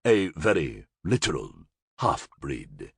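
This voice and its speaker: A man voicing a gurgling, fanged half-animal male monster, held prisoner and speaking in a taunting, sardonic, drawn-out way. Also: a bass voice, sing-song, gravelly, husky, dry recording, studio quality, heavily acted.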